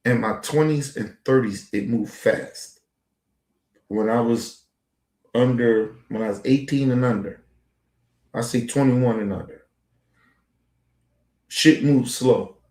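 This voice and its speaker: A man's voice: medium pitch, takes it slowly, long pauses, darkish tone, reverberant. A man in his forties talking in a casual, rambling monologue as a podcast host, heavy on street slang and profanity. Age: 40s.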